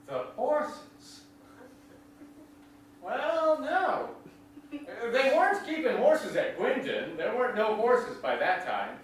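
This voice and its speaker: A man's voice: very high voice, very animated, slow, pauses often, heavily acted. A man playing a genial small-town countryman in a stage drama, talking in a folksy rural dialect. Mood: puzzled. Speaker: actor in a staged reading. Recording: echoey hall, distant mic, faint noise.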